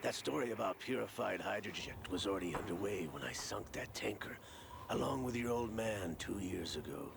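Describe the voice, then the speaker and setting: Gravelly, gloating older villain. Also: male voice, lowish voice, lively intonation, noisy background. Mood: smug revealing. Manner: theatrical, taunting, drawn-out delivery. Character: gloating older male villain, scheming and mocking. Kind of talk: video game cutscene voice acting.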